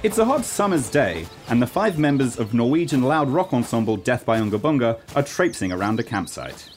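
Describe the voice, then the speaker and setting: A man's voice: mid-range voice, big pitch swings, fairly quick, faint music behind. A man narrating a scripted comedy radio play in a mock-serious storytelling manner.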